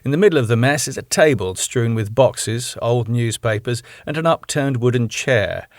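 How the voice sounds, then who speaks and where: A man's voice: mid-range voice, normal speed, no room sound, clean studio sound. A man from the United Kingdom reading the stage directions of his own script in a plain, matter-of-fact way.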